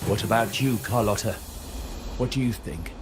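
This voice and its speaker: A man's voice: lowish voice, normal speed, natural voice. An actor playing a stern, cautious man leading a crime family in a video game, serious and decisive. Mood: questioning. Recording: music behind the voice. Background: game music.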